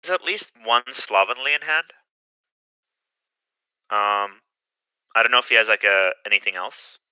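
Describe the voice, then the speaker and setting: A young man giving casual live commentary on a card game tournament, hesitant and filling pauses with "um". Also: lowish voice, lively intonation, bright, forceful tone, clear, resonant tone, no echo, low-quality recording. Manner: hesitant, lots of "um" fillers.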